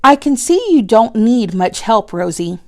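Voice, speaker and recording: A woman voicing a calm, caring female schoolteacher in a storybook, gently and patiently questioning. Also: fairly high pitch, a little slow.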